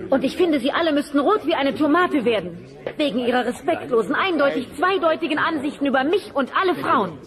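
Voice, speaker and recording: A woman's voice: sits high, lively intonation, a little fast, a crowd in the background. A woman playing a dignified, indignant young dinosaur worker testifying in a comic radio play, firm and formal.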